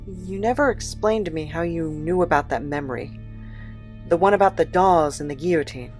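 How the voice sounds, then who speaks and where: A woman playing a meek, awkward male apprentice in an audio drama, hesitant and deadpan. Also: on the low side, moderate pace, soft background music, close to an everyday voice. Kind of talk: audio drama character acting.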